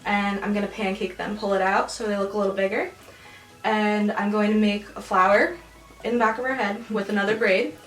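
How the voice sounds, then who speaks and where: An eighteen-year-old woman talking casually in an interview, relaxed and full of "like". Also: mid-range voice, a little fast. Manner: relaxed, uses lots of "like". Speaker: cosmetology student. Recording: roomy echo, distant mic, faint music.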